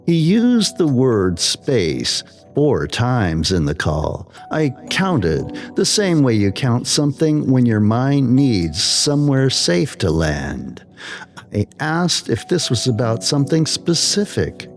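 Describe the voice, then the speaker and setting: A man narrating a scripted slow-burn horror story in a measured way with dramatic pauses. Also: medium pitch, sing-song, soft background music.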